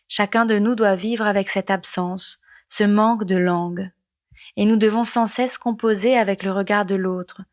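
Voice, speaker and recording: A woman from Mauritius, hosting a podcast in measured, reflective scripted narration. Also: mid-range voice. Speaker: podcast host.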